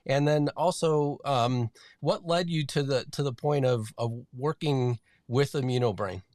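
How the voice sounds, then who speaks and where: A man's voice: medium pitch, a little slow, darkish tone, no echo. Upbeat male podcast host, dry sound. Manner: upbeat, loose, with many fillers and restarts. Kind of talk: podcast interview hosting.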